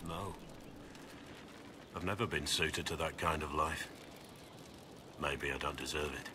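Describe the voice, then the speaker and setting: An actor playing a gruff, weary middle-aged soldier in a video game, grave, measured and earnest, full of remorse and resolve. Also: male voice, extremely low pitch, noisy background. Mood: regretful. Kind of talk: dramatic video game acting.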